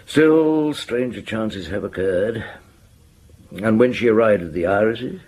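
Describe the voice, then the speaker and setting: An actor playing a seasoned, middle-aged police chief in a radio drama, musing unhurriedly and asking terse, wry questions. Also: male voice, fairly low pitch, animated, unhurried, a little mellow. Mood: probing. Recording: clear background noise.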